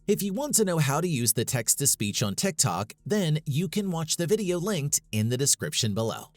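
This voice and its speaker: A man's voice: a little high, big pitch swings, normal speed, no echo. A man reading a scripted tutorial as its host, in an instructional, step-by-step manner. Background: background music.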